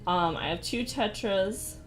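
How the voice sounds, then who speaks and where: A young woman chatting casually on a livestream, soft-spoken and rambling, often laughing. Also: mid-range voice, unhurried, a little hiss or hum. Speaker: streamer. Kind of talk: casual livestream chat while drawing. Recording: faint music behind. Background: faint background music.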